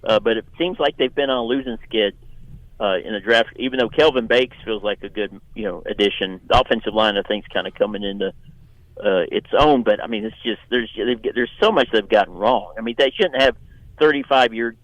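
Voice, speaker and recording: A man giving conversational sports analysis as a radio guest, often repeating and restarting his phrases in a halting way. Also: lowish voice, a bit bright, no room sound, highs cut off.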